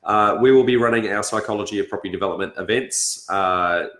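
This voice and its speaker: An Australian man hosting a casual livestream, halting as he searches for words, with a raspy, congested voice from being ill. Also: fairly low pitch, a bit bright. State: congested from illness, blocked nose. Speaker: host of a property education session. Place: indoors on a couch, echoey room. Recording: roomy, echoing sound.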